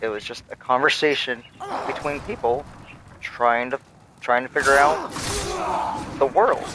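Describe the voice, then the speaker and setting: A man streaming a game, musing on philosophy in a rambling, thoughtful way with many pauses and fillers. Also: pushed and bright, a little hiss or hum. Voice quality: low-voiced. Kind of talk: casual musing while livestreaming a game.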